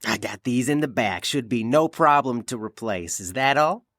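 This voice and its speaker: A man's voice: medium pitch, animated, normal speed, noisy background, lightly acted. A man playing a chatty, curious Old West shopkeeper in an audio drama, folksy and exclaiming, with a rural American accent. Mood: jovial. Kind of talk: western audio drama acting.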